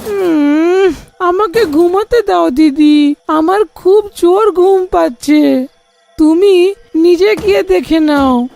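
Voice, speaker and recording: A little boy acted by a woman in a cartoon tale, high in pitch with a creaky edge. He is curious and childlike, whining slightly as he takes his time over words. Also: slow, dark tone, no room sound, soft background music, exaggerated voice. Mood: sleepy, whiny. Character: young boy, curious sleepy little brother. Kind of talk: cartoon story acting.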